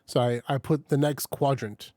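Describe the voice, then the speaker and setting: A man's voice: medium pitch, normal speed, no room sound. A man hosting a casual podcast, swearing freely and laughing a lot as he explains how he packs his leftovers. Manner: animated storyteller, swears often, laughs a lot. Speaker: podcast host. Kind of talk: casual chat.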